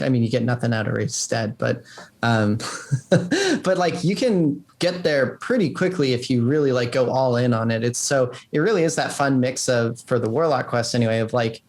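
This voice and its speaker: A man's voice: medium pitch, expressive, moderate pace. Casual man explaining his work, rambling. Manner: rambling, peppered with "like". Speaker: game developer being interviewed. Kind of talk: casual interview answers.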